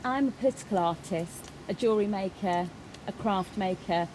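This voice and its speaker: Over clear background noise, a woman gives a live spoken interpretation of a personal statement. Her voice sits at a medium pitch, and she delivers it phrase by phrase, with halting pauses. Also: a little mellow, poor audio. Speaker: interpreter voicing a Deaf signer.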